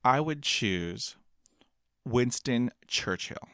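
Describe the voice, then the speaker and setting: A man's voice: medium pitch, expressive, extremely slow, fairly bright tone, no room sound, clean studio sound. A man in his fifties, a guest in an interview, who stutters with repeated sounds and speaks in an upbeat, motivational way. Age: 50s. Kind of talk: interview conversation.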